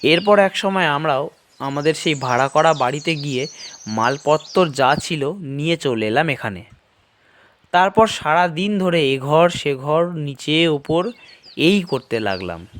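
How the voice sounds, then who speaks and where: A man narrating a horror audio story in a suspenseful, expressive style with drawn-out dramatic phrasing. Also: fairly high pitch, a little hiss or hum. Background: background music.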